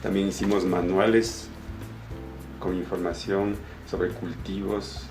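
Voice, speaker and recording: An Ecuadorian man giving an informal, somewhat halting explanation of his work as an illustrator, often repeating words. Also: low-pitched, little pitch movement. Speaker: comic illustrator. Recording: roomy echo with music behind. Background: background music.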